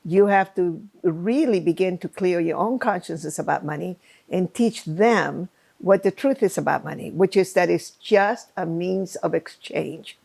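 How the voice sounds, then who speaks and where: Woman guest, low gravelly voice, punchy emphatic way of talking. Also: measured pace, darkish tone. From Chile. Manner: emphatic, speaks in short bursts. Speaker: guest, program founder. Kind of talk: conversational interview.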